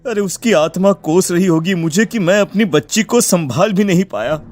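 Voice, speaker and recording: A man playing a distraught, pleading village father in an animated folk tale. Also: sits high, moderate pace, soft background music. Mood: grieving. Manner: pleading, lamenting. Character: distraught village father searching for his lost daughter.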